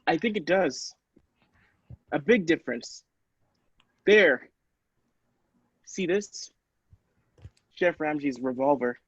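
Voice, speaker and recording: Woman playing a dramatic, husky-voiced doctor, swooping pitch. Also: expressive, slow, pauses often, no room sound, muffled recording, full character voice. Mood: defiant. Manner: dramatic, emphatic. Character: alarmed, defiant doctor facing a murderous robot. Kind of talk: classic radio play acting.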